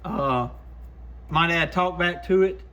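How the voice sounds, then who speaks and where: Folksy man with a slightly high voice. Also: slow pace, pushed and bright. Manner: folksy, easygoing, talks to himself while working. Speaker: radio repair shop vlogger. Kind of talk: casual hands-on bench commentary. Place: small radio repair workshop, indoors.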